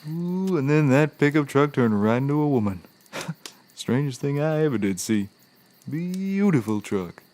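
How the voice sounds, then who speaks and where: A man's voice: fairly high pitch, lively intonation, unhurried, a little mellow. An actor playing a folksy, easygoing and dim man telling tall tales in a scripted comedy. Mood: wistful.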